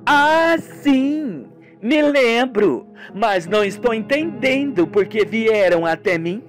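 A man's voice: high-pitched, lively intonation, slow, faint music behind. A man voicing a young, wealthy woman who runs a ranch, defensive and flustered, in a radio soap. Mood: nervous, defensive. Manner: startled, questioning.

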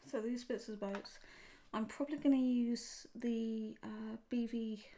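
A woman's voice: fairly high pitch, unhurried, a little hiss or hum. Chatty woman narrating her drawing. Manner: chatty, relaxed, thinking aloud. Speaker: art tutorial host. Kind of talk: casual narration while drawing. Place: home desk, seen from above.

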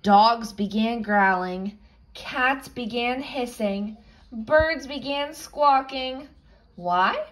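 A woman reading a picture book aloud expressively for children, explaining as she goes like a teacher. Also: a little high. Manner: slow, animated, explanatory storytelling. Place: indoors, picture book filmed from above.

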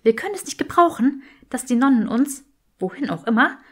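A woman's voice: sits high, very animated, breathy edge, pitched above the performer's own voice, heavily acted. A woman voicing a determined, clever young witch in an audiobook, bossy and commanding. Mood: resolute. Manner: brisk, commanding.

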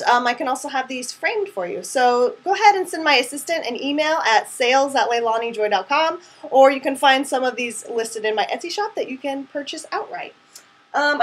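A woman's voice: fairly high pitch, slightly rough. A woman in her twenties chatting casually to camera as an artist hosting her own show, in a rambling way peppered with "like", recorded in a small room at home. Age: 20s.

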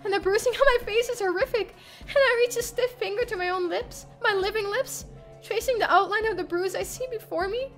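A woman acting a distraught young horror heroine, high-pitched. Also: brisk, fairly bright tone, faint music behind. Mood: anguished. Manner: dramatic, anguished.

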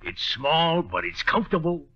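An actor playing a shady, folksy drifter with slangy street talk and a husky voice, in 1930s radio comedy style. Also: male voice, fairly high pitch, sing-song, normal speed, sharp tone, full character voice. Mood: sly, pushy. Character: shady, folksy drifter hawking a shabby little house. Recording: dull vintage 1930s radio recording.

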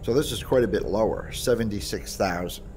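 A man's voice: on the low side, fairly quick, a little mellow, a little hiss or hum. A man giving casual, explanatory gameplay commentary in an informal way. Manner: informal, explanatory, issues short voice commands. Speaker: game video presenter.

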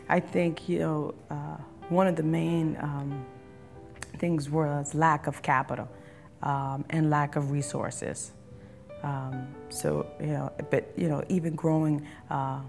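Low husky-voiced cafe owner woman. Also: darkish tone. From East Harlem, New York. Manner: candid, with many fillers and restarts. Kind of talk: interview. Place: brick-walled cafe, seated on a couch. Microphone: lapel.

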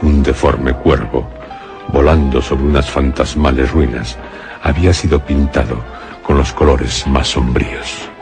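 A man's voice: a bass voice, steady tone, measured pace, over music. A man narrating a gothic suspense radio drama in a grave, scripted style. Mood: grave, ominous. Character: grave male narrator of a gothic horror tale.

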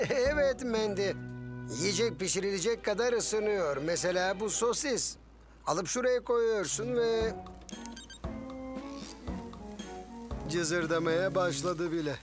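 A man's voice: high-pitched, lively intonation, a little slow, music in the background. A man playing a proud, eccentric gadget-loving father with a pedantic manner in a dubbed children's cartoon.

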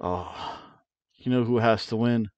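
A middle-aged man talks slowly and playfully through his wrestling picks, drawing out the names with rolled R's. He speaks into a headset mic in a small room at home. The sound is dry and a bit dull and lo-fi. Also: medium pitch, a little mellow, no room sound. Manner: playful, rolls R's on wrestler names, hums dramatic tunes. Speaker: wrestling review host. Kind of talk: casual predictions chat to camera.

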